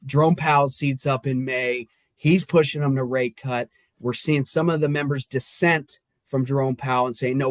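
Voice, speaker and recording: Upbeat mortgage lender, dry sound. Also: male voice, mid-range voice, dry recording. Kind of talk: casual podcast talk. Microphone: remote call.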